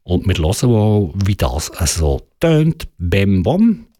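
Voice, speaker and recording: A man's voice: low voice, very animated, takes it slowly, dark tone, no room sound, studio quality. A man with a Swiss German accent hosting a dance music radio show, chatting loosely and correcting himself as he goes. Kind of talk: casual music radio presentation.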